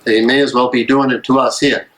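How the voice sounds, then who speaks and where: A man acting an earnest, weary American minister of the 1930s in a live dramatic reading, speaking emphatically and deliberately. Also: mid-range voice, level tone, fairly bright tone, close to an everyday voice. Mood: aggrieved, earnest. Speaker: actor in a dramatic play reading.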